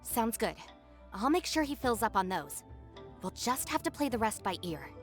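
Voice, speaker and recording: A capable young deputy in a game, practical and tactful. Her voice sits fairly high and moves expressively. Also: sing-song, normal speed, over music. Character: capable, sensible young female gang deputy. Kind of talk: English video game voice acting.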